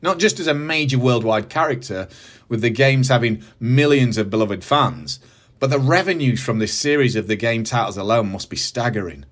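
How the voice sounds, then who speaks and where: A man's voice: mid-range voice, normal speed. A middle-aged man with a British accent, presenting a scripted review with casual asides and emphatic, enthusiastic phrasing. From United Kingdom. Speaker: host of a movie review channel.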